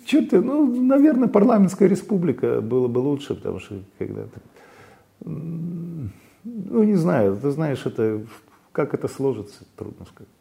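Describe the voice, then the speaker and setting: A man from Belarus talks haltingly as an interview guest. His voice is gravelly and fry-edged, dark and mellow in tone. He stops and restarts often, padding his sentences with fillers. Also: fairly high pitch, sing-song. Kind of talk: casual interview talk.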